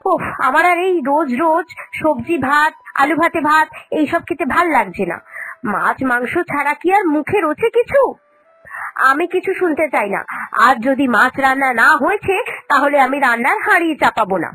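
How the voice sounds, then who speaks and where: A woman's voice: sits high, lively intonation, normal speed, sharp tone, faint music behind, rough recording. A woman voicing a discontented young daughter-in-law in a cartoon, whining and complaining. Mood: aggrieved. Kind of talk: cartoon voice acting.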